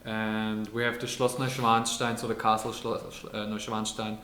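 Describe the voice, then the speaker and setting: A man's voice: fairly low pitch, completely flat delivery, normal speed, pushed and bright, a little hiss or hum. German man chatting in an echoey room. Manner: explains carefully, restarts phrases. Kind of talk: casual chat.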